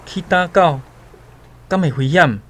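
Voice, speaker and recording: A man's voice: a little high, lively intonation, measured pace, a bit bright. An actor playing a wary, distrustful young man with a Taiwanese accent and an anxious, protesting manner in a radio drama.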